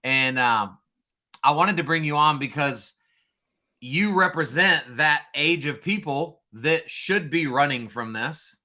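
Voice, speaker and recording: A man hosting an interview, praising and introducing his guest before asking questions, on a boom mic. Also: slow. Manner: warmly admiring interviewer. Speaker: host. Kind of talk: casual interview. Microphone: boom microphone.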